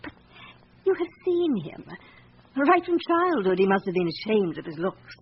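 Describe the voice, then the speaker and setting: Radio play role for a woman: proud, guarded society wife living in fear; formal delivery with wide swings of pitch, on a muffled, hissy recording. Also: big pitch swings, moderate pace, close to an everyday voice. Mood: earnest, confiding. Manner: formal, evasive, dramatically earnest. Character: anxious upper-class wife, proud and guarded, fearing her husband. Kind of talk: radio drama acting. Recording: dull radio recording with background hiss.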